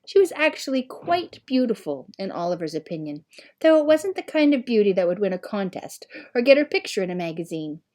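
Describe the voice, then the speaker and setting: A woman narrates a storybook at a middle pitch. Her delivery is measured and expressive, in the manner of someone reading a novel aloud. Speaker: audiobook reader.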